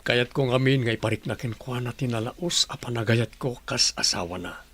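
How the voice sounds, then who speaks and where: A man playing a gentle, devoted middle-aged doctor in an Ilocano radio drama, with an Ilocano accent. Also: mid-range voice, moderate pace, a little hiss or hum, lightly acted. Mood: wistful.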